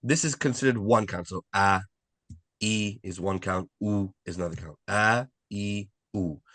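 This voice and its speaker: A man's voice: on the low side, unhurried, a bit bright, no echo. A man teaching a live online beginner language class, explaining step by step, repeating key points and often checking with "right?". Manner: repetitive, checks understanding with frequent "right?".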